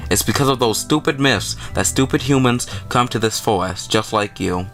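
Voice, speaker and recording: A man's voice: mid-range voice, normal speed, fairly bright tone, lightly acted. Man reading as a haughty witch girl over music. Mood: scornful, annoyed. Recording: music playing behind the voice.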